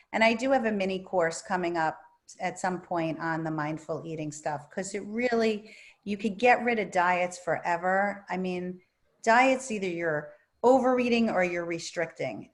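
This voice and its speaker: Calm-voiced woman author, low pitch. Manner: calm, soothing, lively emphasis. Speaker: author and therapist. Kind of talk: virtual book talk Q&A.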